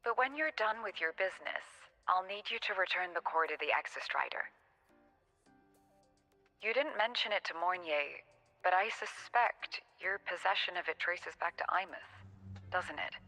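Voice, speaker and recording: A woman playing a composed, shrewd academy president in a video game, measured and wryly polite. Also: fairly low pitch, expressive, sharp tone, in a large hall, soft background music. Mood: cool, firm.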